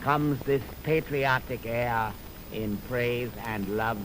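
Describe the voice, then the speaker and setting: A man giving a lofty, dramatic music introduction with deliberate phrasing, in the style of a 1920s radio commentator. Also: mid-range voice. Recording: noisy 1929 radio aircheck from optical film. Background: clear background noise.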